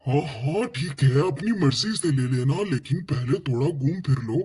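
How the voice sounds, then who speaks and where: A man playing a patient, practical father in a children's cartoon, giving gentle instructions in a rough voice. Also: mid-range voice, expressive, moderate pace. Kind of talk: Hindi children's cartoon dialogue. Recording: music under the voice.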